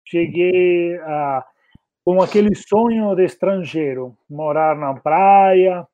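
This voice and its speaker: Man, Argentine accent, Spanish native, slightly high pitch, casual guest talk, dry tinny narrow-band line. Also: slow pace, warm tone, no room sound. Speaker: podcast guest, community marketing specialist.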